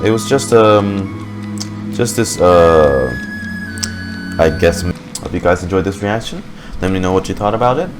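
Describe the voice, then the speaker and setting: Man, lowish voice, casual gaming reaction commentary, rambling, informal, thinking aloud, music in background. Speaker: gaming reaction video host. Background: game music.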